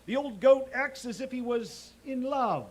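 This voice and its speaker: An actor playing a pompous, sarcastic, exasperated school principal in an old-time radio comedy. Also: male voice, very high voice, animated, unhurried, heavily acted. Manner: sarcastic, blustering.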